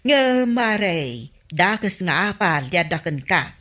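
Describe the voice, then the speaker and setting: A woman's voice: fairly low pitch, big pitch swings, takes it slowly, fairly bright tone, heavily acted. A woman with a Filipino accent playing an envious, teasing married village woman in a radio comedy drama.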